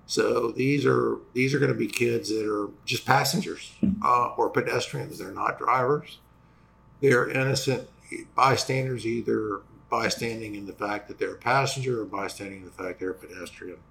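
Male host, lawyer type, raspy and gravelly, voice failing, halting casual delivery full of restarts. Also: mid-range voice, a bit bright. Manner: halting, many fillers and restarts. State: losing voice after vocal cord injections. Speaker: personal injury lawyer and host. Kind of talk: casual explanatory talk to viewers.

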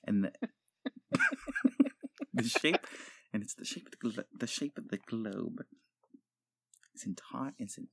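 A man voicing a pompous, bumbling, easily offended professor with a put-on foreign accent, halting and stumbling over words in an improvised comedy podcast. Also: fairly high pitch, big pitch swings, fairly bright tone. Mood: halting, struggling.

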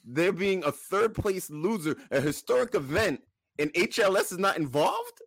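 Male podcast co-host, casual and emphatic, highly animated intonation, dry sound. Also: normal speed, breathy edge, no room sound. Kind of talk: casual banter.

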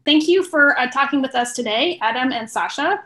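Female podcast interviewer, pitched a little high, informal and conversational. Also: expressive, moderate pace, fairly bright tone. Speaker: podcast host interviewing guests.

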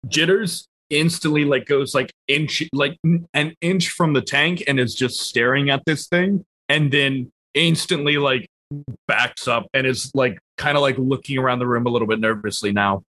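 A man's voice: medium pitch, moderate pace, bright, forceful tone. A man playing a tabletop game in casual, playful chat, stammering and repeating words as he improvises.

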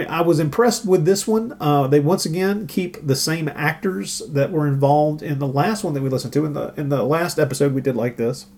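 A man's voice: fairly high pitch, darkish tone. A middle-aged man co-hosting a casual podcast, talking in a wry, joking way with rambling asides. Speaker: podcast co-host. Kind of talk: casual conversational podcast chat.

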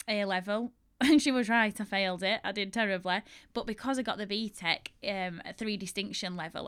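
Chatty Yorkshire woman, smooth voice. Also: mid-range voice, fairly bright tone. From England. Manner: chatty, self-deprecating, laughs often. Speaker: podcast host and bridal shop owner. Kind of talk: casual solo podcast storytelling.

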